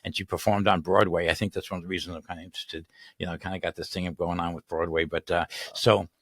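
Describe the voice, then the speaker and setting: A man's voice: low voice, level tone, quick pace, fairly bright tone, no echo, clean studio sound. Rambling older male host. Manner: rambling, repeats words, laughs often. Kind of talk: casual interview. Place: small studio with colorful backdrop. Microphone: studio mic on arm.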